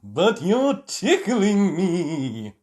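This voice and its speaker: A mischievous little animal boy from a storybook, acted by a storyteller. The voice is raised in pitch, playful and very drawn-out. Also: huge pitch swings, darkish tone, exaggerated voice.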